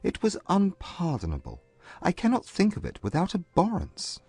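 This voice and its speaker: A man playing a proud, contrite gentleman in love, speaking with formal intensity in a dramatized classic romance audiobook. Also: high-pitched, wildly swooping pitch, creaky, breathy edge, no room sound, soft background music, heavily acted. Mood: remorseful. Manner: formal, intense, measured period phrasing. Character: earnest, proud gentleman suitor, contrite and lovestruck.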